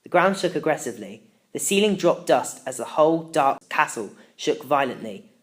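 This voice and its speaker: Narrator for a children's novel, medium-pitched, reading in first person. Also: big pitch swings, moderate pace. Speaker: story narrator.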